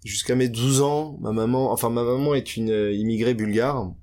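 A man's voice: mid-range voice, fairly even intonation, moderate pace, a little mellow. A young man from Paris, telling his life story candidly in a casual interview, with many fillers and restarts. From France. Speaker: guest.

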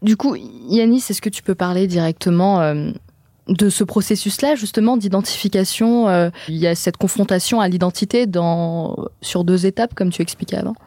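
A young adult woman from Syria, hosting a podcast and reading a prepared introduction in a clear, measured way. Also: mid-range voice, warm tone, dry recording, clean studio sound. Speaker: podcast host. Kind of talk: scripted podcast narration.

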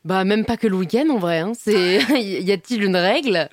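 Young parisian woman, chatty guest, open and lively, mid-pitched, dry sound. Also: normal speed, dry recording. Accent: Parisian French. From France. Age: young adult. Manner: candid, animated, many fillers and laughs. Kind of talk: casual interview chat. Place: restaurant wine cellar.

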